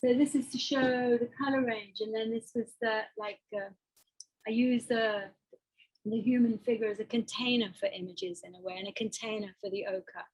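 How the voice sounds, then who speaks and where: Woman artist, slightly high, halting and reflective; presenting a slideshow talk. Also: steady tone, darkish tone, husky, low-quality recording. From Botswana. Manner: hesitant, thoughtful, with fillers and restarts.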